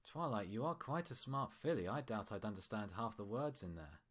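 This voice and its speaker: A man voicing a cheery, falsely gentle pony doctor with a patronizing, chatty manner in a story reading. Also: on the low side, lively intonation, moderate pace. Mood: falsely cheerful. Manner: patronizing, chatty, over-soothing.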